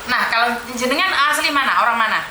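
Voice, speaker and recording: A woman hosting a live-streamed call-in chat, animated and encouraging, slipping into Javanese terms of address. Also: a little high, fast, echoey room, slight background noise, low-quality recording. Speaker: host of a livestream.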